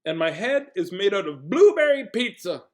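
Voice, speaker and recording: Man putting on a goofy, excitable robot monkey. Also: fairly high pitch, moderate pace, natural voice. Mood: goofy, boastful. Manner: goofy, exclamatory. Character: silly, excitable talking robot monkey from a picture-free storybook.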